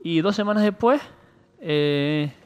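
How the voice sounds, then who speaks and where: Higher-voiced man chatting on radio. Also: expressive, takes it slowly, smooth. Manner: conversational storytelling, acting out quotes. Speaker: radio show presenter. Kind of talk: casual radio chat, retelling a video.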